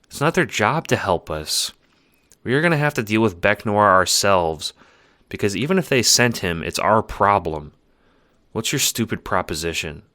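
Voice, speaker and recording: A man voicing a calm, weary troll girl who died, speaking in a flat, deadpan way in a fan audio drama. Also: on the low side, normal speed, dry recording, studio quality.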